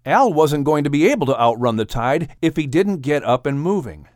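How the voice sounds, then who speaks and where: Expressive male narrator with lively pitch. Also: moderate pace, no room sound, clean studio sound. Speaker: audiobook narrator. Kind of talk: expressive children's story narration.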